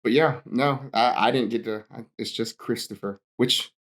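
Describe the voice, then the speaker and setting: A man's voice: medium pitch, normal speed, fairly bright tone. A young man from Hawaii, a pastor and podcast guest, telling stories in a casual chat and laughing often.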